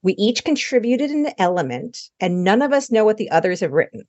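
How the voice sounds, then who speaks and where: A woman's voice: medium pitch, big pitch swings, brisk, a little mellow, no room sound, highs cut off. A woman hosting a podcast, explaining the format in a scripted introduction. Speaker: podcast host.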